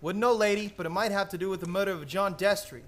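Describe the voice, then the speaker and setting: Man as a fast, high-voiced brash cop. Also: a bit bright, a little hiss or hum. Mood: brusque. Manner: blunt, slangy, tough-talking. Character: brash, slangy, blunt police officer. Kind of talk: old-time radio crime acting.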